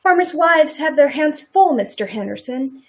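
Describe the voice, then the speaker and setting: A woman's voice: high-pitched, lively intonation, normal speed. A woman playing a guarded, defensive farm wife in a live radio play, with a dry, pointed way of speaking.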